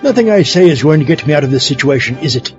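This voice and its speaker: A man's voice: on the high side, lively intonation, fast, natural voice. A man playing a hapless, easily rattled villainous doctor who talks awkwardly in a comic radio sci-fi drama. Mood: sheepish. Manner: awkward, apologetic. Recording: dull lo-fi audio with faint music.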